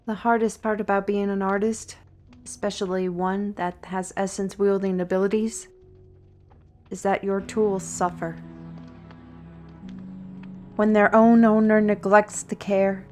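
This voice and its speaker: Woman acting a wry, slow-talking fantasy artist. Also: mid-range voice, darkish tone, natural voice. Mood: rueful. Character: wry, cryptic woman artist on parole, grudgingly hospitable. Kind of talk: audio roleplay acting addressed to listener.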